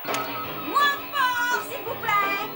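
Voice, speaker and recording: A woman's voice: extremely high pitch, drawn-out words, full character voice. An actress playing a strict, house-proud, snobbish mother in a children's cartoon, scolding in a pompous, exclamatory way. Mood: scolding, outraged. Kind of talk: animated children's cartoon dub. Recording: music behind the voice.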